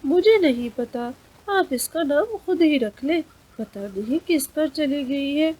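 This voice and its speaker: A woman voicing an excitable, fussy mother hen in a cartoon storybook tale. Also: high-pitched, takes it slowly, voice pushed up. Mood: sulky, dismissive.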